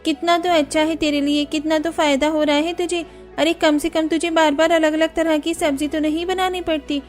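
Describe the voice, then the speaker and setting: A woman's voice: high voice, level tone, moderate pace, soft background music, close to an everyday voice. A woman playing a chatty, emphatic young married neighbor in a cartoon story. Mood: exasperated. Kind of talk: Hindi cartoon story dialogue.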